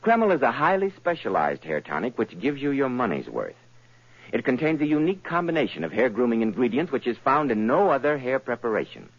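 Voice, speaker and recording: A man's voice: mid-range voice, big pitch swings, brisk. A man working as a radio announcer, giving a polished, emphatic sales read in a 1940s style. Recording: muffled old radio recording.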